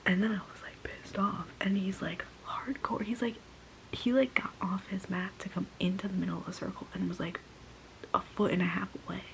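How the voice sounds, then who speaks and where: A woman's voice: mid-range voice, steady tone, brisk, gravelly, a little hiss or hum, rough recording. A young woman telling a casual story in a mostly whispered voice, congested and sore-throated, sounding exasperated. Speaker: vlogger.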